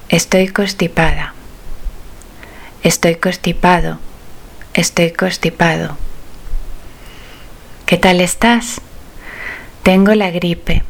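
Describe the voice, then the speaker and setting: Woman, language teacher, phrase drill, very slow, clear enunciation, lowish voice. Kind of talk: slow phrase drill, each line said twice.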